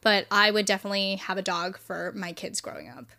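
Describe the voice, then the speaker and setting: Bright-voiced young woman, casual co-host. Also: medium pitch, expressive, brisk, creaky. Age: young adult. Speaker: podcast co-host. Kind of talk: casual chat.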